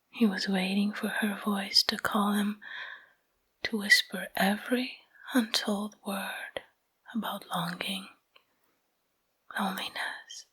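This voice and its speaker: A woman whispering a bedtime story in ASMR style, hushed and breathy, in short phrases with pauses, close to the microphone. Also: mid-range voice, low-quality recording.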